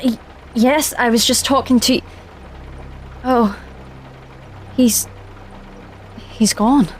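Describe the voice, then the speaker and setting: An actress playing a crash-landed space commander, questioning and wary, in an audio drama. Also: female voice, a little high, steady tone, drawn-out words, slight background noise. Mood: shaken.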